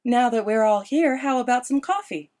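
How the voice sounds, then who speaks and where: A woman's voice: on the high side, lively intonation, measured pace, darkish tone, pitched above the performer's own voice. A woman reading as a sweet, motherly middle-aged woman with a honeyed, coaxing way of talking. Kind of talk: audiobook character dialogue.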